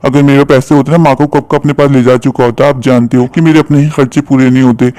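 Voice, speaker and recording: An earnest younger brother played by a man in a cartoon moral tale. He pleads respectfully, talking fast in a dry recording. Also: mid-range voice, flat delivery, mellow, dry recording, close to an everyday voice. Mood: pleading. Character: devoted, poor younger brother, earnest and deferential.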